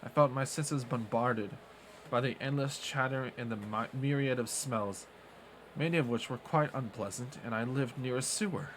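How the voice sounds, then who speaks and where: A man's voice: medium pitch, normal speed, slight background noise, low-quality recording. Man reading a visual novel aloud. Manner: steady reading with small slips and restarts. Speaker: streamer.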